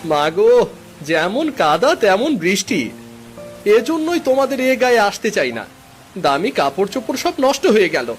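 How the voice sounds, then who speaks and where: A high, swooping voice for a spoiled young husband acted by a man in a cartoon, fussy and entitled. He grumbles and makes demands over a music bed. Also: big pitch swings, moderate pace. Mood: irritated complaining. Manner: complaining, entitled. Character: demanding, peevish young son-in-law, fussy about food. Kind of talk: Bengali cartoon dialogue. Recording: background music under the voice.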